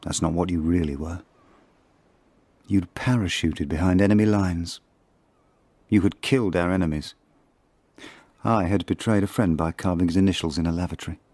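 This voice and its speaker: An actor playing an ageing spy in a radio drama monologue, reflective and confessional, with measured, intimate, literary delivery. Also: male voice, sits low, a little mellow, no echo. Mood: wistful, reflective. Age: older.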